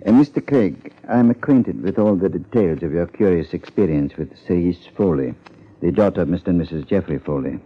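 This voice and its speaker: A man acting a solemn psychiatrist in a 1950s radio drama, speaking in formal, measured, deliberate phrases. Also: sits low, expressive, breathy edge. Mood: solemn, clinical. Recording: muffled 1950s radio recording.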